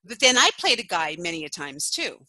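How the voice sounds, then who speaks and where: A middle-aged woman, a former phone sex operator, telling stories in a casual podcast interview, chatty and quick to laugh. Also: fairly low pitch, sing-song, sharp tone, dry recording, poor audio. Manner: chatty storyteller who laughs often.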